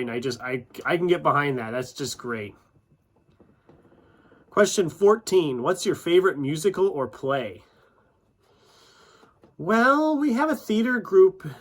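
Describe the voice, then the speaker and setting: A man talks casually to his viewers as a channel host. His voice sits at an average pitch. He speaks informally, with self-deprecating asides. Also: a bit bright. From United States, Iowa. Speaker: YouTube book channel host. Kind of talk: casual chatty vlog, answering questions.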